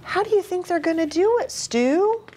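A middle-aged woman reading a picture book aloud to young children, animated, with chatty asides and questions for her listeners. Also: very high-pitched, normal speed, slight background noise. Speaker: children's ministry storytime host. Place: small indoor reading nook with bookshelf and curtains.

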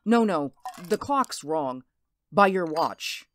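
A woman's voice: on the low side, expressive, slow, background noise. An actress playing an anxious housewife and mother in a radio drama. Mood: uneasy. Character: anxious housewife and mother hiding her unease.

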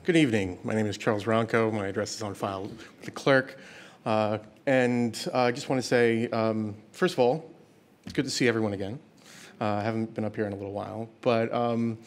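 A man in his thirties gives public comment at a board meeting in a casual, hesitant way, full of ums and uhs. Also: mid-range voice. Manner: casual, hesitant, many fillers. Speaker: citizen giving public comment. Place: large school board meeting room. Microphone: seated at a desk mic.

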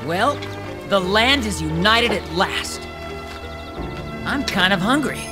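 A contented samurai warlord from a video game, with a fairly high male voice. He sounds easygoing and hopeful, over clear background music. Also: animated, takes it slowly.

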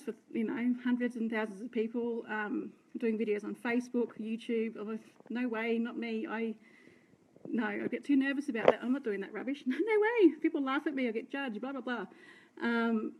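Chatty Australian woman, slightly croaky. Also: on the high side, a little mellow, rough recording. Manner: chatty, rambling, self-deprecating, greets viewers. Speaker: direct sales team leader. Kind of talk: unscripted live video talk. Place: indoor room by curtains. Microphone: phone held close, front camera.

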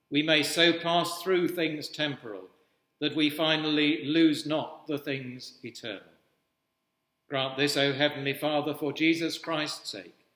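A man in his sixties, an Anglican priest, reading traditional prayers in a solemn, measured, formal way in an echoey church. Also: a little high, bright, forceful tone. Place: indoors at a wooden-panelled cathedral altar. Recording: echoey church with long reverb.

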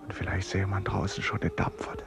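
An actor playing a brooding, resolute man confined in an asylum in a German radio play, speaking in a deliberate, introspective way. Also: sits low, huge pitch swings, gravelly, over loud music, exaggerated voice. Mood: wistful, dreaming. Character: brooding, resolute male traveller confined in an asylum, torn by guilt. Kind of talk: 1950s-70s German radio play, monologue and dialogue.

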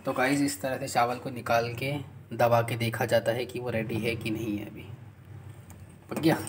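A man films himself cooking and explains each step to his viewers in a level, steady voice. He talks in a small home kitchen, into a phone camera held close. Clear noise from the kitchen sits behind him. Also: mid-range voice, normal speed. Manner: chatty, explains steps to viewers as he cooks. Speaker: vlogger. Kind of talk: casual cooking vlog.

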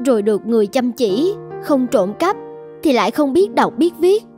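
A dutiful young mother from a cartoon, with a fairly high pitch. She sounds polite and earnest. Music is clearly heard behind her. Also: big pitch swings, normal speed, darkish tone. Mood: weary complaint. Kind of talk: cartoon dubbing.

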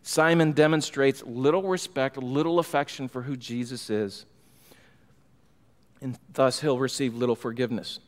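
A man's voice: mid-range voice, fairly even intonation, moderate pace. A middle-aged man preaching an expository sermon from a pulpit microphone, emphatic and building in fervor. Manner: emphatic, rising in fervor, gestures while preaching. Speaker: pastor. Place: church sanctuary, large room indoors. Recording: long hall reverb.

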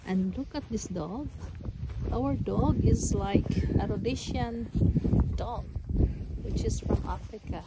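A middle-aged woman narrating a casual farm vlog outdoors on a handheld phone, halting along in short phrases. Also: mid-range voice. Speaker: farm vlogger, host. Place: outdoors in an open farm field. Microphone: handheld phone at arm's length. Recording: loud outdoor noise, clicks.

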